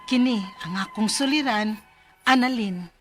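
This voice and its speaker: A woman's voice: on the high side, measured pace, faint music behind. A woman playing a distressed overseas worker in her thirties reading out her plea for advice in a radio drama, with a Cebuano accent and a plaintive, aggrieved manner. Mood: plaintive, earnest. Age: 30s. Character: distressed thirty-year-old Filipina overseas worker writing for legal advice.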